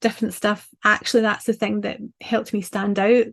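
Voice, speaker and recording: A woman's voice: mid-range voice, flat delivery, normal speed, vocal fry, a bit bright. An older woman with a Scottish accent, casually giving advice over a video call from a small home office, chatty and full of restarts. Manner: chatty, talks with her hands, many ums and restarts. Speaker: branding designer and show host.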